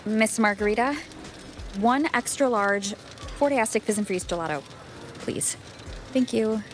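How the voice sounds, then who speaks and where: A woman's voice: medium pitch, a little slow, faint music behind, close to an everyday voice. An actress playing a polite, upbeat young woman, a fair-minded companion in a video game. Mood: eager.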